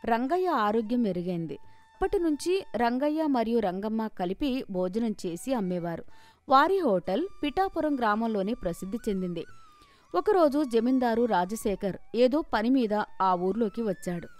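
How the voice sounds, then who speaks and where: A woman's voice: on the high side, expressive, normal speed. A woman storyteller reading scripted narration for a moral story.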